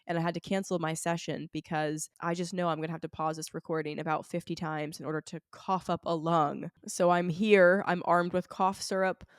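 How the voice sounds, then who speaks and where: Woman podcast host, sick and hoarse, lowish voice, super dry sound, just chatting. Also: dry recording. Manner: candid, chatty, self-deprecating. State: sick, hoarse, raw throat. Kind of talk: casual solo podcast chat. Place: at home, not in a studio.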